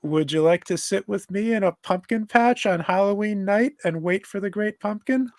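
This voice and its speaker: A man voicing an earnest, gentle little cartoon boy, solemn and sincere, at a live table read. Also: high-pitched, normal speed, dry recording, clean studio sound.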